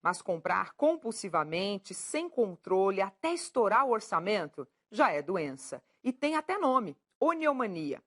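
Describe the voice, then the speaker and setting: A woman's voice: medium pitch, sing-song, a little slow, few pauses, fairly bright tone. A woman hosting a TV talk show, playful and teasing with her guests. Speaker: TV show host.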